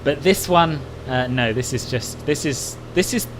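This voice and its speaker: A man's voice: mid-range voice, measured pace. A man who speaks English natively, chatting casually and politely as host of a flight simulator livestream and reading out viewer comments. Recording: clear steady background hum.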